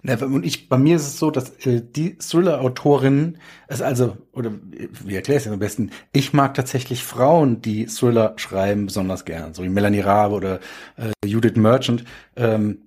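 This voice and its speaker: A man speaking German casually in a discussion, halting and rephrasing as he explains himself. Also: medium pitch, a little mellow, slightly rough. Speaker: thriller author on a panel.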